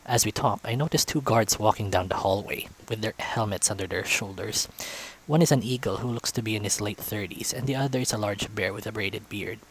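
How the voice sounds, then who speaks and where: A man with a fairly low voice reads a visual novel aloud. He delivers the prose as storytelling narration, scene by scene. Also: a little fast, slight background noise, low-quality recording. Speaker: visual novel narrator, let's-play reader.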